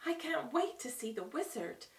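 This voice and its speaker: Woman as spirited young girl, storybook, high voice, very lively swings, exclaiming, echoey. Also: normal speed, recorded from a distance. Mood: wistful. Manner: exclaiming, emphatic. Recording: roomy echo, away from the mic.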